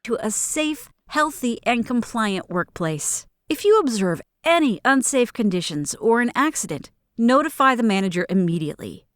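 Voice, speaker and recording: A woman reading scripted workplace training narration in a measured, formal, clearly enunciated way. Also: fairly high pitch, sing-song, no echo, studio quality. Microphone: close studio microphone in a booth.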